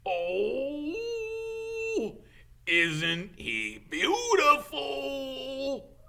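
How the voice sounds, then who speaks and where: A man voicing a big, soft-hearted gamekeeper in a storybook reading, halting and drawn-out, doting and tearful. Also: very high-pitched, sing-song, vocal fry, voice pushed up, heavily acted. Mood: doting, excited. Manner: halting, drawn-out, sentimental crooning.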